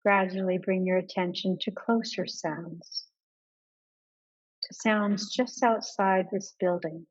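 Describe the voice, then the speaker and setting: A woman guides a meditation with a medium-pitched voice. She gives calm, measured instructions and leaves pauses between them. The recording is a little dull and lo-fi. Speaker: yoga nidra meditation guide.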